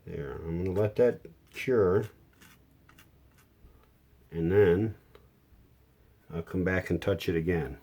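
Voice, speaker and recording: A man's voice: deep voice, slow, gravelly, long pauses, mellow. A man giving a casual hands-on how-to, explaining each step plainly and without hurry as a repair hobbyist.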